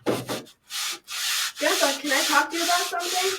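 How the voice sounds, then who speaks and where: A woman's voice: very high-pitched, fairly even intonation, measured pace. A young girl playing an earnest granddaughter in a school radio drama. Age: child or teen. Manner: earnest, conversational. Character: earnest granddaughter, a schoolgirl loyal to her friend. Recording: distant, faint noise.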